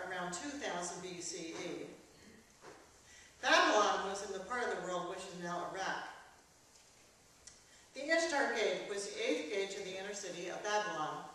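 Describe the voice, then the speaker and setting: Woman presenter: measured, formal prepared introduction, heard from a distance in a heavily echoing church. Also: medium pitch, fairly bright tone. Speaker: novelist introducing her staged reading. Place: church performance space. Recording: very echoey church, distant, faint noise.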